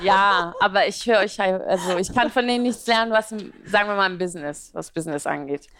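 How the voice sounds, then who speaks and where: A woman's voice: medium pitch, brisk, a bit bright. A woman in her twenties, born in Iraq with Aramaic as her first language, answering interview questions as a blogger in a talkative, rambling way with fillers. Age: 20s. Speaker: beauty and fashion blogger, guest.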